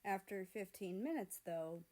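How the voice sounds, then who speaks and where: A woman reading fan fiction aloud as an expressive storyteller. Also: mid-range voice, measured pace.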